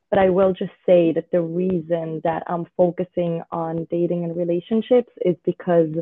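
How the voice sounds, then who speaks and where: A woman from Switzerland, a dating coach, explaining her ideas in a measured way as a podcast guest on a remote call. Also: lowish voice, no echo. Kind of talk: podcast interview. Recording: tinny remote call audio.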